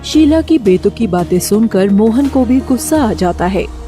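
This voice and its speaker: A woman's voice: mid-range voice, animated, unhurried, dark tone, soft background music. A woman narrating a scripted moral story as its storyteller.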